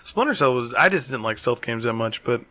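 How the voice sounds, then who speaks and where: A man's voice: medium pitch, lively intonation, fairly quick, bright, forceful tone. A man co-hosting a podcast, chatting casually with crude, irreverent humor. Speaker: podcast co-host. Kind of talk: casual joking chat.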